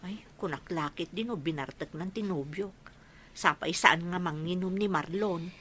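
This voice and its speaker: A woman's voice: lowish voice, normal speed, fairly bright tone, breathy edge, no room sound. A woman playing a caring, practical young adult daughter in a radio drama, speaking with an Ilocano accent. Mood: inquiring, concerned.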